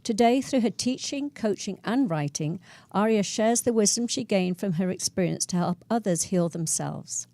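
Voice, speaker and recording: A woman's voice: medium pitch, animated, moderate pace, few pauses, dry recording. A woman co-hosting a talk show, reading a guest introduction and asking questions with frequent encouraging interjections. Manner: warm affirming interjections, reads guest intro. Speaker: co-host. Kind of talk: talk show host chat.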